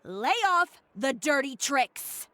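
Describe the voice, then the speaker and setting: A woman's voice: high voice, expressive, slow pace, bright, forceful tone, no echo, exaggerated voice. A woman playing a cool, blunt, unapologetic young designer in an anime game, dry, cutting and composed. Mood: stern.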